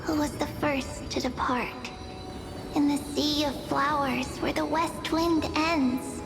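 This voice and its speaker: A woman's voice: high voice, fairly even intonation, slow pace, vocal fry, in a large hall, music in the background, exaggerated voice. A woman voicing a sweet, childlike girl seer in a video game, tender, playful and wistful.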